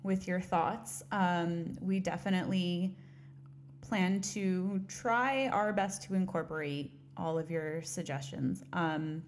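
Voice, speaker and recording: A woman's voice: fairly low pitch, slow pace, a little hiss or hum. A woman co-hosting a casual podcast, rambling and laughing often, recorded on a studio mic while wearing headphones. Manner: giggly, rambling, self-deprecating. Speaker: podcast co-host. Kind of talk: casual chat.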